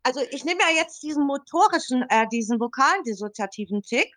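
Woman in her fifties, somewhat high voice. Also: expressive, fairly quick, a bit bright, slight background noise. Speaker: podcast guest. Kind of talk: casual personal account.

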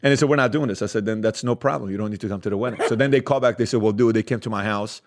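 A man's voice: on the low side, rapid-fire. A man in his thirties, originally from Iran, with Armenian as his first language, telling casual stories as a podcast guest with laughter and quoted dialogue. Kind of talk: casual storytelling interview.

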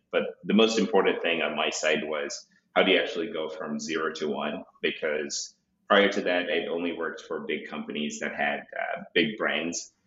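A man's voice: very deep voice, a bit bright. A man from Zimbabwe, a startup founder guest on a podcast, explaining his career path steadily with frequent "uh" fillers. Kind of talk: podcast interview, telling his career story. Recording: roomy, dull remote audio.

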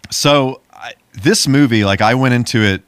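A man's voice: medium pitch, normal speed, a bit bright, no room sound. A man chatting casually as a podcast host, in an informal, rambling way. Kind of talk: casual podcast chat about movies.

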